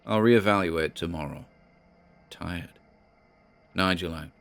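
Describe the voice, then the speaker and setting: A man's voice: deep voice, slow pace, a bit bright, smooth, soft background music, full character voice. A British man playing an obsessed amateur investigator, musing conversationally into an audio diary in a drama. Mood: puzzled, weary. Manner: conversational, musing, muttered asides.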